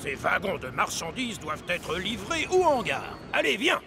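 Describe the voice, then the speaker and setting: Cocky cartoon diesel train, bossy n mocking, high crackly voice. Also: male voice, wildly swooping pitch, moderate pace, gravelly, exaggerated voice. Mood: pleased, coaxing. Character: boastful, scheming male diesel engine. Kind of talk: animated children's cartoon dialogue.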